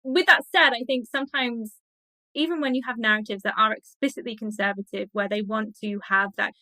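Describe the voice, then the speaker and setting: Bright-voiced woman, higher pitched, academic guest. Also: steady tone, brisk, dry recording, rough recording. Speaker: interview guest, academic expert. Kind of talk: conversational interview discussion.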